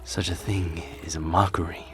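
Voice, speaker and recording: A man playing a brooding, loyal former general of a beast folk in a pensive ASMR roleplay monologue, often dropping to a breathy murmur. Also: sits low, flat delivery, normal speed, fairly bright tone, soft background music. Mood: reflective, somber. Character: brooding male wolf warrior, former general, troubled and loyal.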